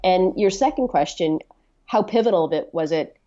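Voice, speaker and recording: A woman's voice: on the low side, lively intonation, moderate pace, dark tone, dull audio. A middle-aged woman from Canada whose first language was Swahili. She talks earnestly as an interview guest, with many fillers and repeated words. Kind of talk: reflective conversational interview.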